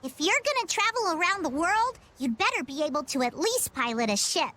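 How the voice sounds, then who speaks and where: A woman's voice: extremely high pitch, very animated, a little fast, bright, forceful tone, heavily acted. A woman voicing a plucky, carefree young girl treasure hunter in a video game dub. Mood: carefree, breezy.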